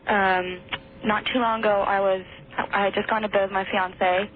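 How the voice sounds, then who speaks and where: A woman's voice: medium pitch, level tone, normal speed. A woman from Portland, Oregon, calling in to a radio show, speaking hesitantly with many ums. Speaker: caller. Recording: tinny phone line with faint noise.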